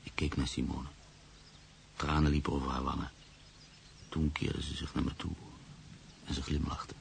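An actor playing a grave, reflective man who narrates his own story in a radio drama, gravelly and measured. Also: rumbling low, level tone, full character voice. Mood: grave, tender. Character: Freek, reflective grave man, narrator-protagonist moved by loss. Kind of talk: literary radio drama monologue. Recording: faint background noise.

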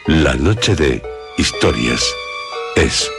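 A man with a Castilian Spanish accent hosting horror radio theatre, solemn and suspenseful, pausing between short phrases. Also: very deep voice, big pitch swings, vocal fry. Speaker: radio drama host. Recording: loud music behind voice. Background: loud music.

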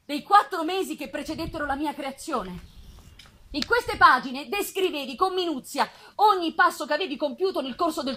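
A woman voicing a lonely, self-loathing male creature in a dramatic literary reading, eloquent and bitter, with measured, solemn phrasing. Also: sits high. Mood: grave recounting. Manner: measured, solemn phrasing with emphatic swells and hushed drops. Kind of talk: dramatic literary reading, live theatrical performance. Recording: live festival recording with faint noise.

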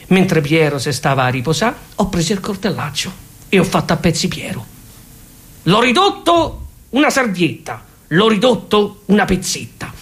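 Casting: male actor as obsessive Roman housewife with a murderous streak, high-pitched, Roman accent, repetitive stage-comedy delivery. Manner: repetitive, fixated, swinging in emphasis. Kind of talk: theatrical comic monologue.

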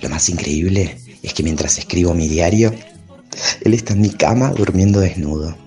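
A man's voice: sits low, moderate pace, mellow, soft background music. A man playing a frank, flamboyant travesti poet who reads from a diary in a wry, theatrical way. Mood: wry, proud. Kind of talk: dramatic literary monologue over music.

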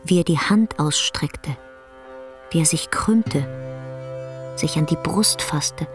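Slow-paced woman narrator over guitar music. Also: low voice. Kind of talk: scripted literary narration for radio drama. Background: plucked guitar music.

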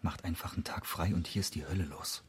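A man's voice: low-pitched, fairly even intonation, fast talker, a little hiss or hum, lightly acted. A man playing an exasperated, sardonic police colleague in a radio crime drama, scolding with heavy sarcasm. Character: exasperated male police colleague, sardonic, grumbling but loyal.

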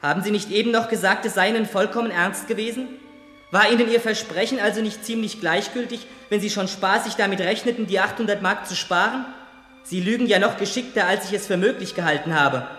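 A man's voice: sits high, a little fast, a bit bright. A man acting in a 1970s radio play as an unforgiving young son who confronts his father with insistent, formal questioning. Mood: prosecuting. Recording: reverberant hall, music behind.

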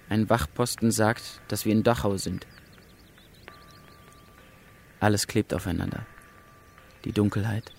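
A numb, bleak prisoner telling his story in a radio play. The voice is slightly low and very flat in pitch. He speaks in stark, detached fragments. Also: slight background noise. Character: male deportee, bleakly recounting camp arrival, numb. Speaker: actor narrating in a radio drama. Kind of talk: German radio drama monologue.

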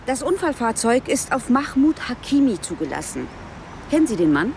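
A woman's voice: a little high, very animated, normal speed, a little mellow. An actress in a radio drama playing a police detective of about forty, dry and probing in her questions. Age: around 40. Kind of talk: naturalistic radio drama acting. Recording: clear outdoor car and traffic noise.

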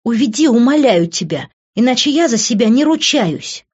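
A man voicing a bitter, wounded young woman with loud, accusing, scornful delivery in an audiobook. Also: very high voice, lively intonation, normal speed, breathy edge, muffled recording, voice pushed up, heavily acted. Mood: furious.

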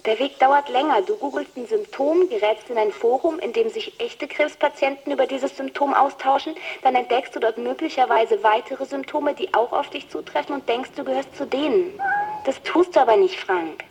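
A woman's voice: sits high, normal speed, darkish tone, lightly acted, low-quality recording. An actress playing a concerned, insistent woman in a radio drama, earnest and explanatory as she pleads with a friend. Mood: concerned, pleading.

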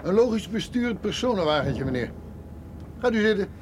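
Radio drama: deferential military policeman, high-pitched male voice, evasive and formal, noisy recording. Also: big pitch swings, moderate pace. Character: obedient, tight-lipped young-sounding military police corporal.

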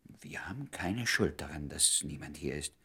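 Radio play lover, man: extremely low, very raspy, ardent and devoted, slipping into breathy murmurs. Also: lively intonation, normal speed, bright, forceful tone, full character voice. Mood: tender, hushed. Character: ardent devoted man wooing an old love. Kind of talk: intimate German radio drama acting.

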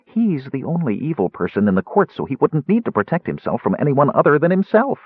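A man's voice: fairly high pitch, sing-song, brisk, breathy edge, dry recording, phone-quality sound, pitched above the performer's own voice. A man voicing a small, guileless kender from a fantasy story, chattering eagerly and earnestly in a rambling way. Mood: chatty, blithe. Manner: rambling, eager, matter-of-fact reasoning.

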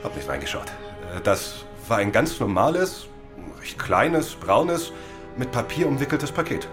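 Man acting a jovial, roguish sea captain, lively swooping voice. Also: medium pitch, unhurried, over music. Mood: guarded, storytelling. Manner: grandiloquent, chuckling, hesitant hedging. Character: jovial, evasive, roguish sea captain, smooth-talking and vain. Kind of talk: radio crime drama acting.

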